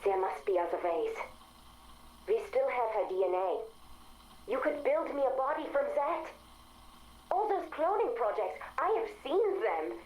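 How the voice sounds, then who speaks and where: A woman's voice: fairly high pitch, sing-song, a little slow, pauses often, a little mellow, reverberant, slight background noise, poor audio. An actress playing an earnest female artificial intelligence in a video game audio log, persuasive and imploring. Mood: pleading.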